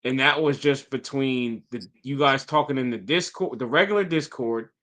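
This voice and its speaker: Podcast co-host, male, bright, slightly nasal from the flu, rambling casual delivery. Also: mid-range voice, normal speed. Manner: relaxed storytelling, lots of fillers. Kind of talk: casual chat.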